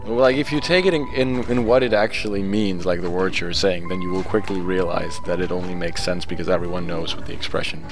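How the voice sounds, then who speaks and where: A man from Denmark, a native Danish speaker, talks quietly and carefully over a video game. His voice sits somewhat low. Soft game music runs in the background. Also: fairly even intonation, brisk, hardly any pauses. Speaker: co-commentator. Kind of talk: casual game commentary.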